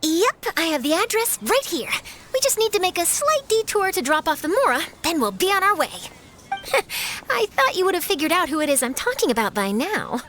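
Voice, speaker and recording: A woman's voice: very high voice, big pitch swings, normal speed, fairly bright tone, slight background noise, exaggerated voice. A woman voicing an eager young female news reporter in a video game, perky, persuasive and theatrical. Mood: chatty. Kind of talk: animated video game voice acting.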